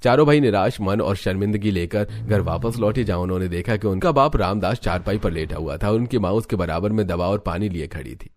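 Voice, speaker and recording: A man's voice: fairly low pitch, lively intonation, fairly quick, few pauses, a little mellow, dry recording. A man narrating a scripted cartoon family story as a storyteller.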